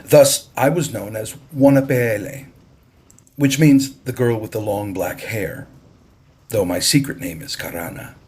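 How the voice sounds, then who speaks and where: A man narrating an audiobook in a deliberate, expressive storytelling style. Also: lowish voice, breathy edge.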